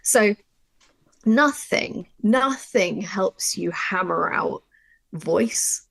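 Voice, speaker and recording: A woman's voice: medium pitch, expressive, drawn-out words, creaky, fairly bright tone, slightly rough, poor audio. A woman talking informally and emphatically in a writing workshop, explaining with examples as a writer. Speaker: audio drama writer leading a workshop. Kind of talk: conversational workshop discussion.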